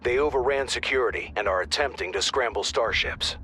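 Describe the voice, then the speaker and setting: An actor playing a wry male operations dispatcher who briefs the squad in a dry, quick manner. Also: mid-range voice, bright, forceful tone, soft background music, natural voice. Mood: briefing. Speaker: mission dispatcher. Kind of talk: video game voice acting.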